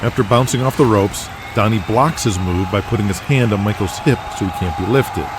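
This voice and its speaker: A man's voice: fairly low pitch, noisy background. A man narrating a scripted audio drama with intense, emphatic storytelling. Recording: loud music bed under voice.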